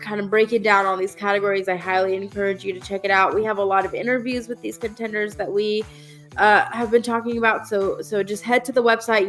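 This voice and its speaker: A woman hosting a casual film podcast, talking in an easy chat with many fillers, her voice nasal from a cold. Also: mid-range voice. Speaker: podcast host.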